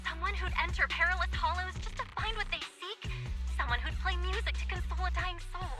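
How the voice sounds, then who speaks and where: Game AI companion: extremely high voice, composed, precise, loyal and firm. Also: female voice, quick pace, over music. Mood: defiant, protective. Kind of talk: video game voice acting.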